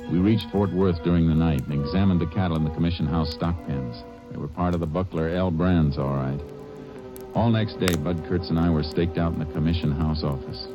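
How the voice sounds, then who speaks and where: A deep, calm lawman, clipped delivery, old radio drama with music behind. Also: a little fast, highs cut off. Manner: calm, clipped, matter-of-fact. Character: steady, sharp-witted male Texas Ranger. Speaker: lead actor and narrator. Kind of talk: 1940s radio acting.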